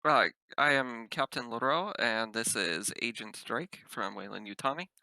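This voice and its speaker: A man voicing a formal, courteous female starship captain in a tabletop game, speaking in a measured way. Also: mid-range voice, creaky, sharp tone, no room sound, heavily acted, poor audio.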